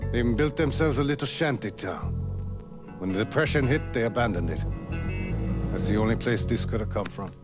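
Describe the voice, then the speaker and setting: An actor playing a stern, protective older Southern boss with a folksy, measured way of talking in a video game drama. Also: male voice, mid-range voice, lively intonation, over loud music, lightly acted. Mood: grave, explaining.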